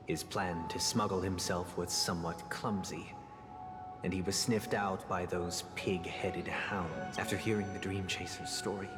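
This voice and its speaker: An actor playing a solemn noble man who heads a family, telling stories in a measured, formal way with soft, hushed phrases. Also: low-pitched, lightly acted. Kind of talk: game dialogue voice acting. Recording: reverberant with game music.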